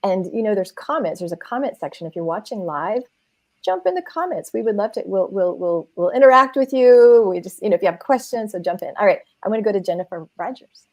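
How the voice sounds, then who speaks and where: A woman's voice: medium pitch, animated, brisk, a little mellow. An American woman hosting a casual panel talk, effusive and chatty, often restarting her sentences. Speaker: host.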